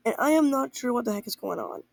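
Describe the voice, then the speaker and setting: Young teen, creaky voice, dry close sound, amateur announcement. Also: medium pitch, expressive, fast talker, no room sound.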